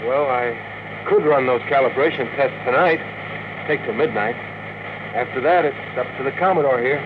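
An actor playing a down-to-earth, wisecracking rocket engineer in a classic radio drama. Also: male voice, mid-range voice, normal speed, lightly acted. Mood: matter-of-fact. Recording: tinny, noisy old radio recording.

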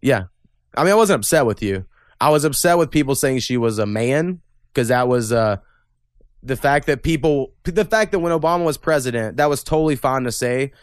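A man's voice: mid-range voice, expressive, brisk, clean tone, no room sound, clean studio sound. A young man hosting a casual podcast, talking bluntly and opinionatedly and swearing freely. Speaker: podcast host. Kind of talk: casual political chat.

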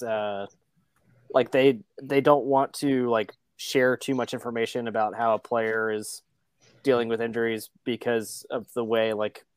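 A man's voice: mid-range voice, no echo. A man on a sports podcast panel, explaining casually and hesitantly with many filler words. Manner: hesitant, frequent "like".

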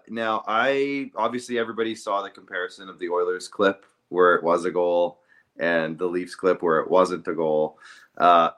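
Low-voiced man arguing a sports call. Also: a bit bright. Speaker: sports podcast co-host. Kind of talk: casual sports debate.

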